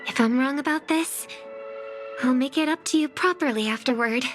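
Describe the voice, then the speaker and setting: A woman voicing an earnest, watchful and worried young singer in a video game, soft and hesitant in manner. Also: sits high, normal speed, faint music behind, close to an everyday voice. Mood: apologetic, wistful. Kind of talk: scripted game dialogue, inner monologue.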